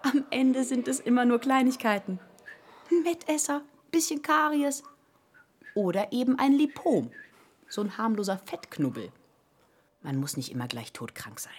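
A woman's voice: on the high side, expressive, moderate pace, slightly rough. An actress playing an anxious hypochondriac woman in a radio play, confiding and dramatic. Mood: reassuring. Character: anxious hypochondriac woman waiting in an emergency room. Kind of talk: German radio play acting.

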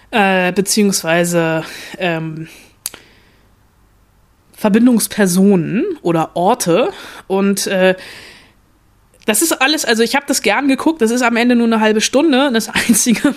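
Chatty woman reviewing a series. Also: mid-range voice. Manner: rambling with frequent fillers and restarts. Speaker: film critic podcast co-host. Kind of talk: casual chat, reviewing a series.

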